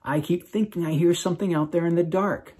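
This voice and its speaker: Man voicing an anxious little boy, raised pitch. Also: steady tone, brisk, mellow, slightly rough. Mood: worried. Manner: worried, plaintive. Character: small, anxious human boy at summer camp.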